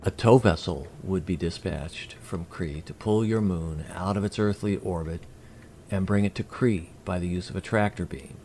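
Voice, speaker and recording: A man playing a courteous alien envoy in an audio drama, speaking formally and deliberately with precise wording. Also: low-pitched, warm tone, slight background noise. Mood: calmly persuasive. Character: courteous, measured male alien envoy from Kree. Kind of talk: scripted audio drama acting.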